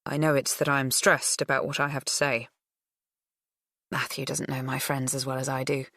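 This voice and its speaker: Female narrator for first-person fiction audiobook. Low register, very dry recording. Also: level tone, moderate pace, a bit bright, no room sound.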